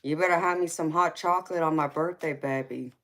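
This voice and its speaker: A woman's voice: low-pitched, brisk. A woman in her forties with a Southern accent and twang, chatting casually with viewers on a tarot livestream, calling them baby and honey. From Georgia, US. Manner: affectionate, calls viewers baby and honey, laughs often. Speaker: tarot reader livestream host. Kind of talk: casual live chat reading comments.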